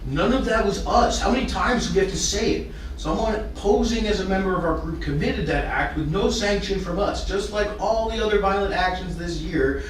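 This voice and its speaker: A man's voice: high voice, moderate pace, voice pushed up, natural voice. A man playing an exasperated, defensive activist in a script read-through, speaking emphatically and with indignation. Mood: defensive, indignant. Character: exasperated, defensive political activist protesting innocence. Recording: roomy, distant, hissy room recording.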